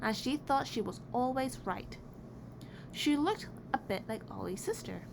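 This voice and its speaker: A woman reading a children's picture book aloud in an expressive, deliberate storytelling way. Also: on the high side. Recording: clearly noisy background.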